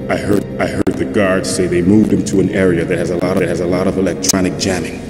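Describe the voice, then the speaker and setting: A man's voice: deep voice, level tone, quick pace, natural voice. A man playing a weary, grave middle-aged captive official in a video game drama, explaining things earnestly and urgently. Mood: informative. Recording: echoey reverberant room under loud music. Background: loud game music.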